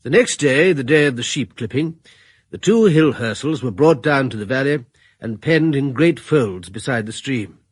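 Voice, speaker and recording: An actor playing a middle-aged retired general who also narrates the story, in a radio drama. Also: male voice, mid-range voice, expressive, husky, no echo. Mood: assured. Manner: assured, measured.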